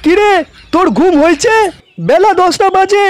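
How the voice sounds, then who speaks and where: A man voicing a scolding, long-winded old father in a comedy cartoon, with a pitched-up voice. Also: normal speed, faint music behind. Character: nagging, scolding old village father, comically crude.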